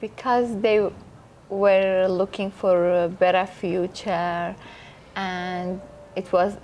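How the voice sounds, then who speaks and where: A woman's voice: fairly low pitch, steady tone, slow, darkish tone, slight background noise. A woman from Iraq who speaks simple English and often laughs while answering interview questions. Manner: simple non-native English, frequent laughter. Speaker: interview guest.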